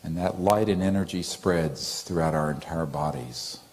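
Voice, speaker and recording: Male meditation teacher: very deep, soft-edged mellow voice, gentle and soothing instructional delivery. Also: normal speed.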